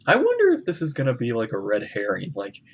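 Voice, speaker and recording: A man's voice: mid-range voice, huge pitch swings, quick pace. A man streaming his reaction to a video game, giving casual commentary and thinking aloud as he speculates.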